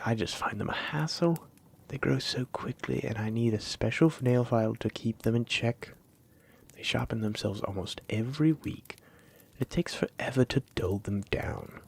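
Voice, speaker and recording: A man's voice: fairly low pitch, full character voice. A man playing a flirty, teasing dragon-blooded librarian in a roleplay audio drama, coy and persistent, lingering on his phrases. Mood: gentle, chatty. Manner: coy, teasing, drawn-out phrases with breathy asides.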